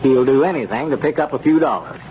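A man playing a sensible, suspicious small-town friend in a 1930s radio serial, with a folksy, measured way of reasoning. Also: mid-range voice, warm tone, lightly acted. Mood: skeptical, reasoning. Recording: muffled, noisy 1930s radio recording.

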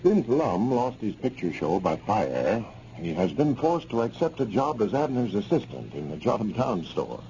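A man's voice: lowish voice, sing-song, moderate pace, warm tone. A man with an American accent delivering scripted narration as a 1930s radio announcer. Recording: muffled, noisy 1930s radio recording.

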